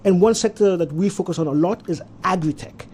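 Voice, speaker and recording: A man's voice: sits high, brisk, darkish tone, breathy edge. Ghanaian man with an Austrian-tinged accent. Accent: Austrian-tinged English. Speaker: tech consultant guest. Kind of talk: talk show interview.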